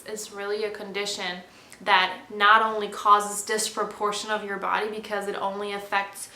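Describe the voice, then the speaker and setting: A woman's voice: mid-range voice, level tone, normal speed, sharp tone, reverberant, low-quality recording. A woman in her twenties explaining a personal topic to her viewers in an earnest, straightforward way. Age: 20s. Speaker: vlogger.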